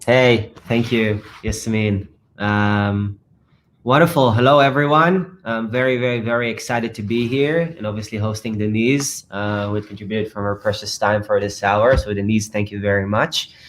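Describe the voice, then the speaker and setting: A man moderating a live online fireside chat, upbeat and quick through long sentences on a video call. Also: on the low side, fairly even intonation, slight background noise. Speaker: moderator and startup founder.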